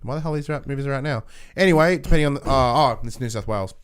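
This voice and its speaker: Male co-host, young, Australian, clean resonant mid-range voice, informal, halting, meandering delivery. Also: medium pitch, clean tone. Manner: rambling, halting, frequent "like". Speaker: podcast co-host. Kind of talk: casual chat.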